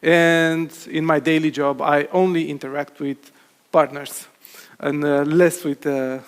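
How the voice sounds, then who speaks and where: A man's voice: on the high side, little pitch movement, measured pace. A man from Romania, a cloud solution architect, giving a conference talk with frequent ums and uhs. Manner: hesitant, many filler sounds. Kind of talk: conference presentation. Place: conference hall with audience. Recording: long hall reverb.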